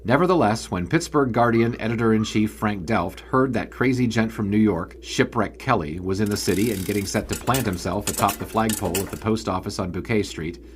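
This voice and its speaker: A man's voice: lowish voice, brisk, few pauses, slight background noise, natural voice. A man playing a wry old-time sports writer. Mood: measured. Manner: dry, wry storyteller. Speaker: narrator. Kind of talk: scripted period narration. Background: background music.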